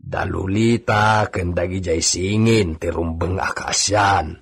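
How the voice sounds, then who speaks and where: A man's voice: sits low, fairly even intonation, slow, creaky, breathy edge, heavily acted. A man with an Ilocano accent acting a gossipy, obedient male villa stable hand in a radio drama. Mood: gossipy, concerned.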